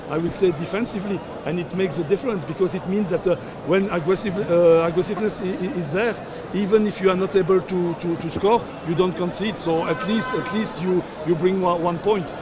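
An older man coaching football, speaking at a post-match press scrum outdoors, halting with many fillers and repeated words. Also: high voice, background noise. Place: outdoors at a sponsor backdrop, surrounded by reporters. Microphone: cluster of handheld reporter mics and phones.

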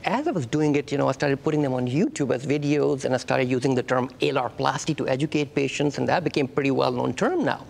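A man's voice: medium pitch, fast, gravelly, hardly any pauses. A man in his fifties from Pakistan with a Pakistani accent, speaking conversationally as a surgeon guest in a TV studio interview. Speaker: facial plastic surgeon, guest. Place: TV studio talk-show set.

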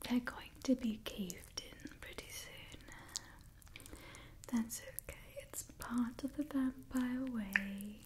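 Woman playing a slow, whispering, haughty vampire lady. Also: on the high side. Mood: soothing, doting. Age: young adult. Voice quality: soft, breathy whisper. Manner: slow, refined, coaxing, faintly imperious. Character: haughty, doting immortal female vampire of a sophisticated clan. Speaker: ASMR roleplayer. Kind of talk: whispered ASMR roleplay. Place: small indoor set with wooden backdrop. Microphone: close mic, out of frame. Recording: close-miked whisper, noticeable hiss, soft clicks.

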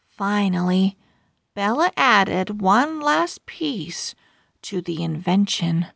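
A woman reads a children's chapter book aloud, expressively and deliberately. Her pace is extremely slow, with a touch of creak in an animated delivery. The recording is close and dry. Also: mid-range voice, no echo. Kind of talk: slow scripted storybook read-aloud for young readers.

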